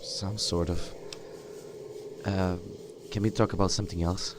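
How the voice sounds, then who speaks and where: A man roleplaying his video game character aloud, speaking in a halting, polite and dramatic way. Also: deep voice, steady tone, noisy background. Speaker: gamer voicing his player character. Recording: game music behind the voice.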